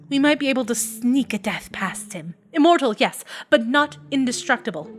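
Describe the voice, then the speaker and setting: A woman reading a first-person story aloud as an audiobook narrator, with an intense, theatrical and emphatic delivery. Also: a little high.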